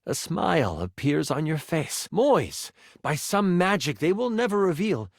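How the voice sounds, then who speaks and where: An actor playing a theatrical fantasy game master who narrates with dramatic storytelling flair. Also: male voice, on the high side, very animated, measured pace, gravelly, no room sound, studio quality, heavily acted. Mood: solemn, wondering.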